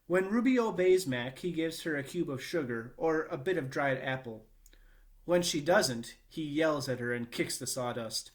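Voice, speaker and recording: Man reading a children's novel aloud. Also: a little high. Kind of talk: expressive read-aloud narration.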